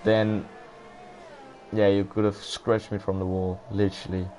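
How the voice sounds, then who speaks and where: Excitable Dutch-accented male race commentator. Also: lowish voice, unhurried, a little hiss or hum. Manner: excitable, exclaims and laughs during close moves. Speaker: sim racing commentator. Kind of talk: live esports race commentary.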